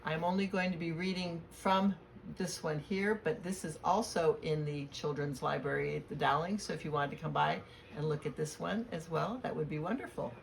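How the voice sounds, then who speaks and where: A woman reading a storybook aloud to children in a deliberate, expressive way. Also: sits low, poor audio. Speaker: children's read-aloud reader at a library. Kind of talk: storybook read-aloud with spoken introduction. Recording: clear background noise.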